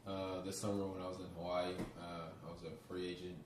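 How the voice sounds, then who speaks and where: A man answering interview questions as a podcast guest, halting and soft-spoken with frequent "uh" pauses. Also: deep voice, flat delivery, roomy sound, distant mic, noisy background. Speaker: professional basketball player, guest.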